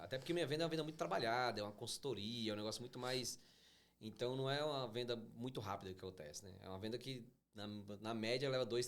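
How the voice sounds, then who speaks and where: A man's voice: medium pitch, background noise. A man working as a financial consultant, explaining client cases as a podcast guest in casual conversation. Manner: fast, explanatory, frequent restarts. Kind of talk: casual interview chat.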